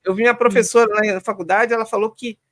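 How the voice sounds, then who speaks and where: A Brazilian man hosting a live stream in a relaxed, informal way, reading viewer comments and wrapping up. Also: high voice, fast talker. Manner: relaxed, informal sign-offs.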